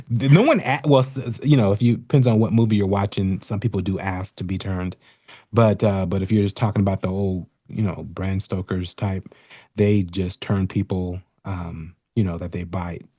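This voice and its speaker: A man's voice: sits low, moderate pace, dark tone, slightly rough, no echo, clean studio sound. A middle-aged man talking casually and jokingly as part of a panel chat. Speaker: podcast panelist.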